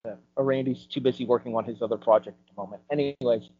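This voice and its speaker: A man giving opinions as a podcast panelist, building his points emphatically and deliberately. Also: medium pitch, steady tone, darkish tone, breathy edge. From United States. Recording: dull, lo-fi close sound.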